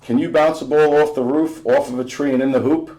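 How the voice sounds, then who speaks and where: A man's voice: fairly high pitch, fairly even intonation, fairly quick, mellow, lightly acted, low-quality recording. A man voicing a proud, teasing, loving father and former basketball star in a storybook, with emphatic, bantering delivery. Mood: teasing, challenging.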